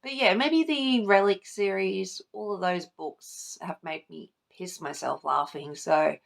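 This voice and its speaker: A woman with a lowish, creaky voice chats informally to camera like a hobby vlogger. She swears freely and gushes about her favourites in a loose, conversational way. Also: a little slow. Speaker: book vlogger, channel host. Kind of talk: casual chat to camera, book tag video.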